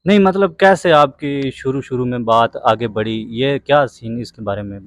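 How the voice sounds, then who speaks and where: A man's voice: mid-range voice, moderate pace, no echo. A man hosting a video interview, speaking in a formal, dramatic presenter's manner. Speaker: interviewer and host. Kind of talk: video interview with spoken introduction.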